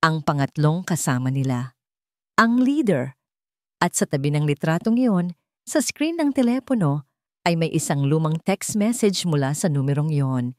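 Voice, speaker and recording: A woman's voice: low voice, sing-song, moderate pace, a little mellow, dry recording, clean studio sound. A woman with a Filipino accent narrating a romance drama story in an emotional, dramatic way.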